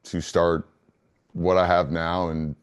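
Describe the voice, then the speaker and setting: A man with a low, clean, resonant voice answers interview questions conversationally. He sounds earnest and measured, with little rise and fall in his pitch. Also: level tone, clear, resonant tone. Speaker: interview guest, business founder.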